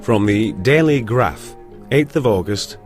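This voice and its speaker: A male narrator delivers a Victorian newspaper report for a gothic audio drama. His voice is fairly low with lively, dramatic movement, and music plays under it. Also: a little slow. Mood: grave reporting.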